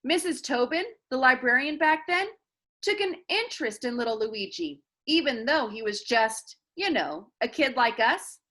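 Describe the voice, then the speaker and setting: A woman voicing an eager, bookish seventh-grade boy who gossips excitedly in a storybook reading. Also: high-pitched, normal speed, heavily acted.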